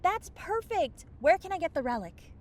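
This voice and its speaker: A woman's voice: very high voice, very animated, moderate pace, darkish tone. A woman playing a flighty, sheepish young fairy in a fantasy comedy audio drama, evasive and casual in manner. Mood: eager. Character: flighty, sheepish young female fairy who loses magic gems.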